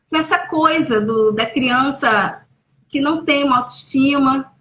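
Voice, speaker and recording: High, emphatic Brazilian woman educator. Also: bright, forceful tone, poor audio. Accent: Brazilian Portuguese. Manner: emphatic, encouraging, rising when making a point. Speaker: educator running school workshops. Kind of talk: casual conversation on a live stream. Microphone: phone or laptop on a live video call.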